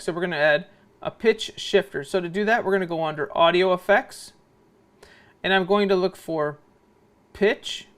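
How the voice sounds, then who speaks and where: A man's voice: high-pitched, a bit bright, clean tone. A man narrating an informal video editing tutorial, explaining step by step and often checking in with a short questioning tag. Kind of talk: software tutorial screencast narration.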